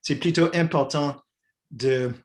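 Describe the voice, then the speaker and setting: A man in his sixties from Quebec, as a guest in a relaxed dialogue, hesitating and restarting his phrases often. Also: a little high. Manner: halting, many restarts and fillers. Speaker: guest, peace activist. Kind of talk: conversational dialogue.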